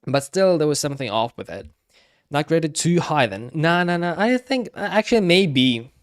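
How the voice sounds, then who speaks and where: A man livestreaming casual commentary on game cutscenes, talking haltingly and often repeating himself. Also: fairly high pitch, big pitch swings. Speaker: streamer.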